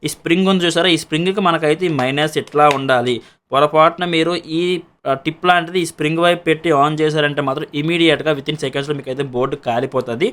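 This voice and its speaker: A man's voice: on the high side, steady tone, fast, fairly bright tone, slight background noise. A man with a Telugu accent gives a casual product demonstration as a reviewer and seller, explaining repetitively and mixing in English words.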